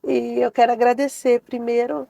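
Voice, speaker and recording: A woman hosting a casual podcast interview, talking in a chatty, unhurried way. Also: a little high, flat delivery, gravelly, warm tone, dry recording. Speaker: podcast host.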